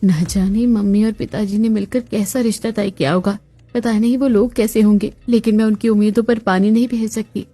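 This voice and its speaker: A woman acting the dramatic, expressive daughter and chatty female relatives of a cartoon family story. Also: mid-range voice, fairly quick, natural voice. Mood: anxious, dismayed. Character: young unmarried daughter and her chatty women relatives, expressive. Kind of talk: Hindi cartoon story dialogue. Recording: music bed behind the voice.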